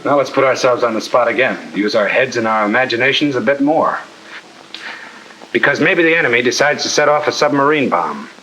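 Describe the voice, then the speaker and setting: A blunt, wisecracking army sergeant lectures in a mid-pitched voice. He instructs in punchy slang, as in a 1950 training film, with music clearly behind him. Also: lively intonation, a little fast, sharp tone, rough recording. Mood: firm, instructive. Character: brisk, tough-talking army sergeant instructor.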